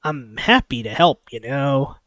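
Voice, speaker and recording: A streamer voicing an eager, caring male automaton with a gentle, coaxing, playful manner in a visual novel. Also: medium pitch, animated, measured pace, dry recording, studio quality. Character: eager, caring male automaton host, wistful about humans.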